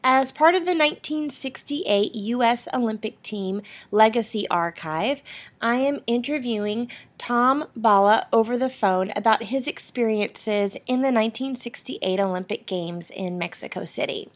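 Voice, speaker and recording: Brief: woman archive interviewer, slightly high voice, steady and formal. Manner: measured, formal questioning. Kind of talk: oral history interview.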